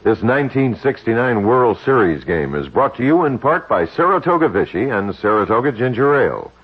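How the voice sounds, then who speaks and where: A man reading a sponsor message for a radio broadcast with a lively sing-song lilt. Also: medium pitch, a little fast, husky. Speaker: sponsor announcer. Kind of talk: sponsor tag read. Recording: dull 1960s radio broadcast.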